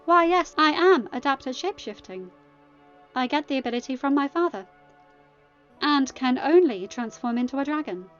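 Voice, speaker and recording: A woman's voice: high voice, lively intonation, moderate pace, dull audio, voice pushed up. A woman voicing a sardonic teenage villain's daughter, dry and sarcastic, in a story reading. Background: music.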